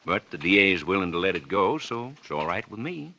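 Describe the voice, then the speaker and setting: A man's voice: low-pitched, big pitch swings, bright, forceful tone, muffled recording, full character voice. An American actor playing a sly, folksy police captain who needles his suspect with insinuating talk in a 1940s radio drama. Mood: knowing, offhand. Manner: folksy, insinuating, drawn-out deliberate teasing. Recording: noisy old 1940s radio recording with clicks.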